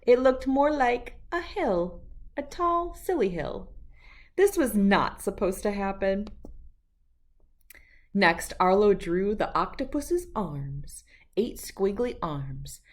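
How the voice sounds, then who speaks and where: A woman reading a children's storybook aloud with expressive, deliberate, emphatic phrasing. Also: mid-range voice. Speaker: children's book read-aloud host.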